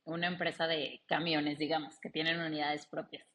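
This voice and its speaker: A woman's voice: deep voice, normal speed. A woman with a Mexican accent, chatting animatedly and telling personal stories as an interview guest. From León, Guanajuato, Mexico. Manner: animated, chatty, quotes dialogue as she tells anecdotes. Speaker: interview guest, logistics company founder and CEO. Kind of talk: casual conversational interview storytelling.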